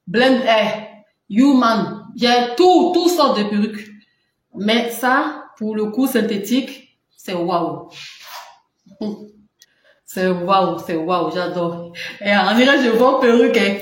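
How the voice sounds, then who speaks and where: A woman's voice: mid-range voice, lively intonation, slow pace. Chatty woman in a very echoey room. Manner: chatty, laughs often, answers viewers' comments. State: runny nose. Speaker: live-stream seller. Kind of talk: casual live-stream chat. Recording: very echoey room with faint noise.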